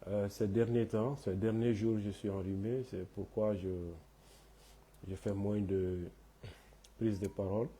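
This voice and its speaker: A man, hoarse from a cold, hosting a live talk in French and Bambara with many hesitant fillers. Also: on the low side, flat delivery, measured pace, dark tone. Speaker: host of a live online talk.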